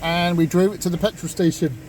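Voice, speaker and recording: A man's voice: sits high, steady tone, fairly quick, a little mellow, poor audio. A man chatting casually as he vlogs about his car, with some background noise from cars and surroundings. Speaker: car vlogger. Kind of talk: casual vlog chat.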